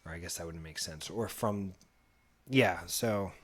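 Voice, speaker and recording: A man co-hosting a podcast in casual chat, with a joking, deadpan teasing manner. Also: low voice, a little slow, dry recording. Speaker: podcast co-host. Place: indoor room shared with co-host.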